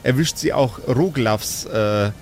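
A man's voice: medium pitch, slow, slight background noise. A man as game master, improvising the story of a tabletop role-playing podcast in a playful, teasing way.

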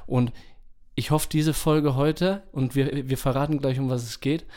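A man with a mid-pitched voice chats as a podcast co-host. He talks in a loose, rambling, colloquial way and drops in bits of English slang. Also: fairly even intonation, a little slow, darkish tone. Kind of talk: casual chat.

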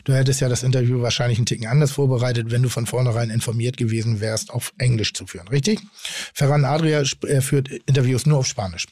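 A man speaks in a casual, opinionated podcast conversation, explaining his views bluntly. His voice sits at a higher-than-deep, average male pitch, and the sound is dry and close, without room echo. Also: steady tone, fast talker, a little mellow. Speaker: podcast host and restaurateur.

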